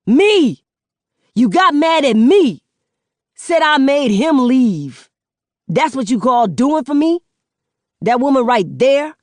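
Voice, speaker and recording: A woman voicing a hurt young man who shouts and swears at his mother. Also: mid-range voice, animated, moderate pace, dry recording, clean studio sound, lightly acted. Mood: angry.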